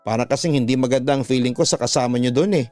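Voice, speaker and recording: A man's voice: mid-range voice, fast, darkish tone, soft background music, close to an everyday voice. A man with a Filipino accent voicing an uneasy schoolgirl who earnestly warns a friend, in a radio drama. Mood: worried warning. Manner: earnest, cautioning. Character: uneasy schoolgirl warning her friend of ghosts.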